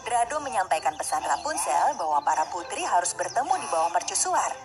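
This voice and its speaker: A woman's voice: fairly low pitch, big pitch swings, fairly quick. A woman narrating a scripted fairy tale for children. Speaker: storyteller, narrator. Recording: music under the voice. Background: music.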